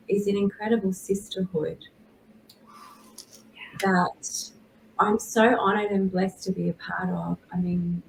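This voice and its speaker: Woman from Australia, guest coach, slow earnest delivery, roomy echo. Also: medium pitch, gravelly, a little mellow, slight background noise. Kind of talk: interview answers.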